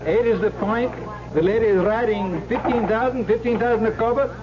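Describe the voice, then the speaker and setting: An actor playing a brisk, formal croupier announcing bets at a dice table in an old radio drama. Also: male voice, high voice, background noise, close to an everyday voice. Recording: dull old radio broadcast.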